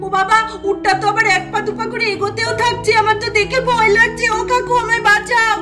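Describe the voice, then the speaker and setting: A woman's voice: very high voice, level tone, quick pace, over loud music. A woman voicing a timid, frightened little village girl in a doll story, pleading in a singsong way. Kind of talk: amateur doll-story voice acting.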